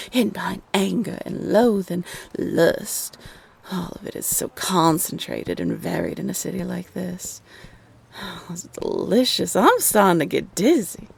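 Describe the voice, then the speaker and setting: A woman playing a playfully affectionate, teasing love spirit who guides unseen, with a purring, drawn-out delivery in a roleplay audio drama. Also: medium pitch, gravelly, darkish tone, slightly rough.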